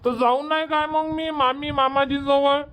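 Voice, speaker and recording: A man's voice: extremely high pitch, level tone, unhurried, fairly bright tone. A man voicing a stubborn, whiny little boy in a cartoon comedy, pleading insistently with a Varhadi Marathi accent. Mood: whining, sulky.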